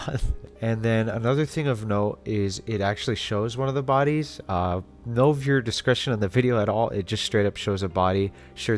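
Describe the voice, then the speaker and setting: A man hosting a casual analysis video, talking conversationally with wry asides. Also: on the low side. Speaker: host.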